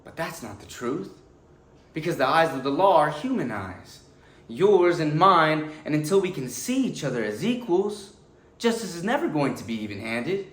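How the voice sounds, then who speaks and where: An actor playing an earnest, anguished defense lawyer pleading to a jury, delivering a deliberate, emotional courtroom monologue with weighted pauses. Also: male voice, fairly high pitch, very animated, fairly bright tone. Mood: impassioned pleading. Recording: echoey room with faint background noise.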